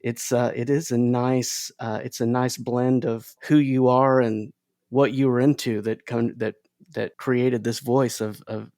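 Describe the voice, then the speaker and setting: A man's voice: medium pitch, level tone, a little slow, a little mellow, dry recording, studio quality. A man hosting a casual interview conversation, enthusiastic and complimentary, often stumbling and repeating words. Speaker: podcast host.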